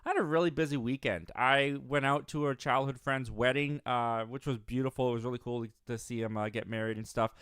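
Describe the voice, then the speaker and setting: A man's voice: mid-range voice, fairly even intonation, brisk, few pauses, fairly bright tone, clean tone, no echo, studio quality. A young man hosting a live movie stream, reading viewer comments aloud in an upbeat, rambling way with many restarts. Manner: upbeat, rambling, frequent restarts and self-corrections.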